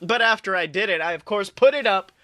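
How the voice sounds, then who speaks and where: A male podcast host chatting in an offhand, joking manner. His voice sits a bit high for a man and sounds very bright and forward. The recording is close and completely dry. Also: lively intonation, fairly quick, dry recording. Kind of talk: casual comedic chat.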